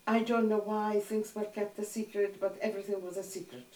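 An older woman whose first language is Hungarian, calmly recalling her childhood in an oral history interview. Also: medium pitch, fairly quick. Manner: reflective, plain-spoken storytelling. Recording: roomy sound from a distant mic.

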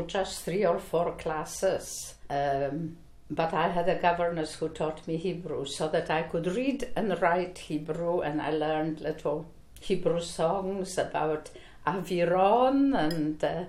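An older woman from Poland, recounting her early life in an oral history interview, with slow, reflective speech and frequent fillers. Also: lowish voice, darkish tone. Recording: echoey room.

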